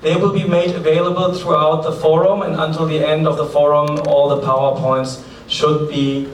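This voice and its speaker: A man's voice: fairly high pitch, level tone, moderate pace, recorded from a distance. Brief: German-accented male conference host, chatty and practical, echoey hall, faint background noise. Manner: chatty, practical, light asides. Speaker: forum host. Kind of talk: conference opening remarks.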